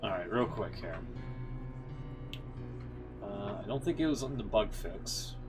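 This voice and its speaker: Low-voiced man, casual game streamer. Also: animated, measured pace. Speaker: livestream host, gamer. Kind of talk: casual livestream game commentary. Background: background music.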